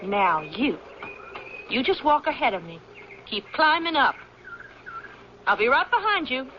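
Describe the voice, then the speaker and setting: A woman's voice: sits high, very animated, a little slow. A woman playing a tough, suspicious young mountain girl in a radio drama, curt and commanding with folksy rural grammar. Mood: guarded, commanding. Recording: muffled, noisy old radio broadcast.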